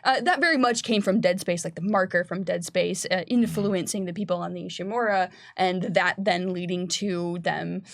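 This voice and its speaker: A woman's voice: fairly low pitch, moderate pace, vocal fry, hardly any pauses, dry recording, studio quality. A woman who works as a game designer, hosting a casual panel discussion and explaining her ideas in a conversational way.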